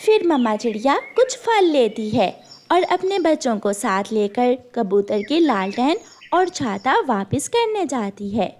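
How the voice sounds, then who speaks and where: A woman's voice: fairly high pitch, big pitch swings, a little slow, a little mellow. A woman giving scripted storybook narration for a children's cartoon.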